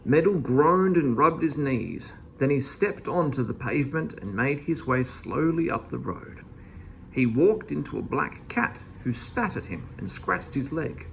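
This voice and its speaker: A man's voice: mid-range voice, lively intonation, a little slow, gravelly, a little hiss or hum. A man reading a children's storybook aloud as a narrator. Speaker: storybook reader.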